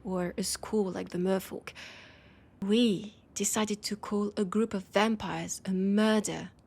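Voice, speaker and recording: A woman with a French accent voicing a weary, wry female vampire in an intimate audio roleplay, speaking in a breathy, hesitant, confiding way. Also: mid-range voice, a little mellow. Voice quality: breathy, husky.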